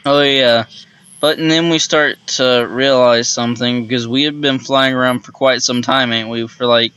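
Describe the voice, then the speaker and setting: A man co-hosting a storytelling series, casually improvising as he goes, on a slightly muffled low-fi microphone. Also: fairly even intonation, moderate pace, bright, forceful tone, clear, resonant tone.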